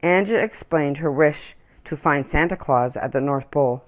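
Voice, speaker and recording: A woman reading a children's story aloud in an expressive, deliberate storytelling manner. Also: low voice. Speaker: children's story-time reader.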